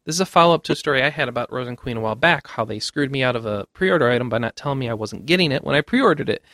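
A man's voice: mid-range voice, animated, rapid-fire, fairly bright tone, no room sound, clean studio sound. A man hosting a casual panel show, reading out game news and bantering with the others.

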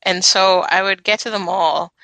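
A woman's voice: medium pitch, fairly even intonation, normal speed, creaky, sharp tone, dry recording, low-quality recording. A woman chatting casually as a podcast panelist, blunt and emphatic in giving her opinions. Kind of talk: casual, opinionated gaming chat.

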